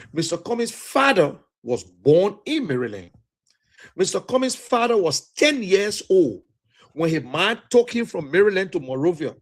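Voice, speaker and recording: A man's voice: fairly high pitch, husky. A man from Liberia with a Liberian English accent gives an impassioned political talk, emphatic and repetitive, with a preacher-like cadence.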